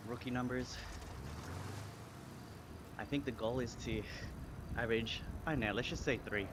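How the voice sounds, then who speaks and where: A man's voice: mid-range voice, steady tone, normal speed, background noise. A man in his thirties with an Australian accent, chatting casually on a live stream while riding an indoor bike trainer, a little out of breath. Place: home indoor cycling setup.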